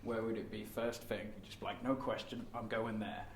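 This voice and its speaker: A man's voice: on the low side, level tone, fairly quick. A man doing casual interviews in an informal, encouraging manner. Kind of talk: casual chat. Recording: echoey, slightly noisy, distant room.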